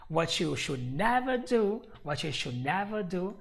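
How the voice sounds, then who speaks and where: A man's voice: high-pitched, big pitch swings, a little slow, creaky, fairly bright tone. A man with an Italian accent teaching English on a live stream, patient and repeating himself as he explains.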